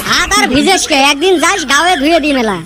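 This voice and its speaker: A woman's voice: high-pitched, lively intonation, fast. A woman doing a sly, teasing village man. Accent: Rangpur dialect. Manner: teasing, scheming chatter. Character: sly male village friend, scheming and teasing. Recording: noisy homemade recording.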